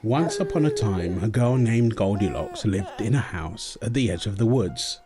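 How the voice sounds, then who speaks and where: A man acting a gentle young father at his baby daughter's bedtime, soft, playful and coaxing in an intimate roleplay style. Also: fairly low pitch, expressive, normal speed, music in the background, lightly acted. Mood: storytelling. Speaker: audio roleplay performer. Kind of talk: intimate comfort roleplay, storybook reading.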